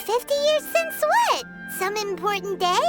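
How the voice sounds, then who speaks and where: A woman voicing a tiny, excitable, childlike fairy companion in an anime-style game. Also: very high-pitched, unhurried, music in the background, exaggerated voice. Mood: curious.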